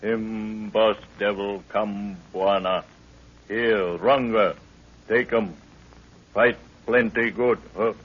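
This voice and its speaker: An actor playing a huge, loyal, superstitious Maasai prince in halting pidgin English in a 1930s radio drama. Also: male voice, fairly low pitch, steady tone. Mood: eager to fight. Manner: halting pidgin English mixed with African words. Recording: dull lo-fi 1930s radio recording.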